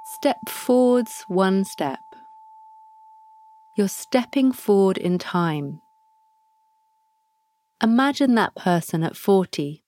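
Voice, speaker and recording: A woman guides a meditation at a very slow, unhurried pace, leaving long pauses between gentle prompts. Her voice sits at a middle pitch and is recorded close and dry, with no room sound around it. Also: expressive, no room sound. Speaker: podcast host guiding a practice.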